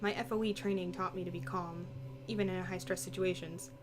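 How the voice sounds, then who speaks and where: A woman's voice: on the low side, fairly even intonation, brisk, over music. A woman reading visual novel text aloud as dramatic first-person narration.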